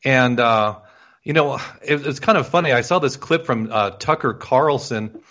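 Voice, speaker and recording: A man's voice: mid-range voice, moderate pace, fairly bright tone. An agreeable man hosting a casual conversation, throwing in jokes and asking questions. The sound is dull and lo-fi. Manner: agreeable, joking. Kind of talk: casual chat. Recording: muffled lo-fi audio.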